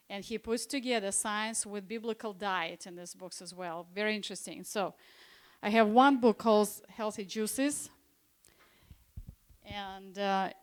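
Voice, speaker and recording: Smooth-voiced woman presenting health books. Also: mid-range voice. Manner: conversational, with frequent hesitations and restarts. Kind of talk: informal presentation at a church seminar. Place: church hall.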